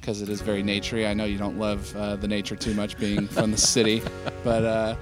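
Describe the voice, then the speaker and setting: A man's voice: fairly low pitch, completely flat delivery, a little fast. Upbeat guy hosting a podcast, jokey and chatty, bit of music behind him. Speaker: podcast host, pastor. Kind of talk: casual podcast interview. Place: outdoors in nature.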